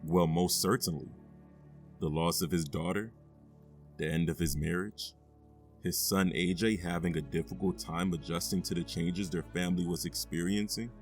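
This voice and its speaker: A police chief testifies in a deep, low voice, as a man plays him, speaking with measured formality. Soft music runs faintly beneath this audio drama. He stays earnest and steady throughout. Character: steady male police chief on the witness stand.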